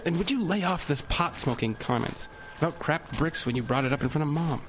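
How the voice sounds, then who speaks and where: A man's voice: on the high side, expressive, a little fast, fairly bright tone, a little hiss or hum. An actor playing a cocky, sarcastic older teenage brother who teases his sibling. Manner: sarcastic, teasing.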